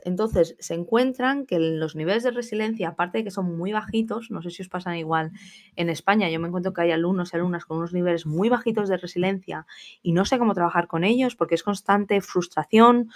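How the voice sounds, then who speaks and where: A woman in her thirties from Spain, a teacher hosting a casual solo podcast, chatty and familiar, talking straight to her listeners with asides. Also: lowish voice.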